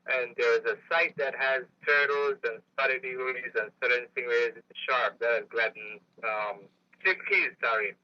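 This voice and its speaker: Muffled lo-fi phone call, guy talking haltingly and earnestly on a radio phone-in. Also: mid-range voice, bright, forceful tone. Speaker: phone-in caller, tour guide and dive master. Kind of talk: unscripted complaint to a radio show. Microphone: telephone. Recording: dull lo-fi phone line on radio.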